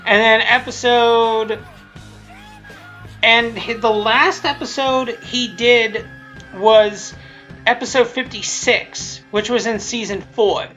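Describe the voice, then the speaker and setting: A woman's voice: fairly high pitch, fairly even intonation, slow, a bit bright, dull audio, soft background music. A woman giving a casual, unscripted commentary in a rambling, blunt way, often restarting and repeating her phrases. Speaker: creator of a fan abridged series.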